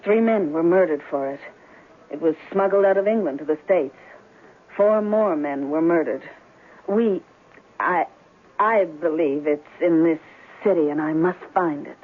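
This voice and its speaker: A woman's voice: low voice, unhurried. An actress playing an alluring, evasive female client in a 1970s detective radio drama. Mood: pleading. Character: alluring, evasive female client in trouble, noir femme fatale. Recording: muffled old radio broadcast.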